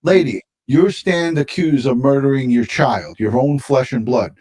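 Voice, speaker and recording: A man's voice: medium pitch, measured pace, mellow, husky. A man giving casual true-crime commentary as a live stream panelist, opinionated and repeating himself for emphasis.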